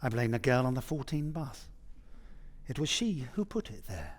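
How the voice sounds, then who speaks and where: A man's voice: medium pitch, sing-song, normal speed, a little hiss or hum. A man, an actor, reciting poetry on a theatre stage, recorded live.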